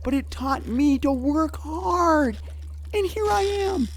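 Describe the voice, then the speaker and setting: A man's voice: very high-pitched, expressive, slow pace, exaggerated voice. An actor playing a naive, dim, eager young man in an audio drama, stammering and earnest in a nervously upbeat way. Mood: chirpy, encouraging.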